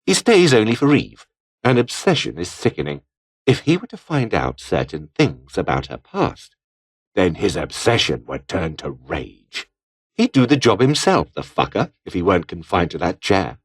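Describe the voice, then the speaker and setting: British male game character, haughty political plotter, formal, disdainful, cold ambition, very varied pitch. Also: big pitch swings, normal speed, slightly rough, heavily acted. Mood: bitter, disdainful. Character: scheming, haughty British political officer, coldly ambitious man. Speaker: video game voice actor. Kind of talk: scripted game character dialogue.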